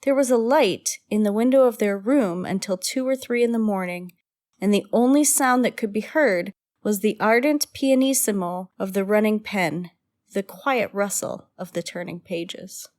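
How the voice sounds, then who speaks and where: Mid-pitched woman narrating a radio play. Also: normal speed, darkish tone. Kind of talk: scripted radio drama narration.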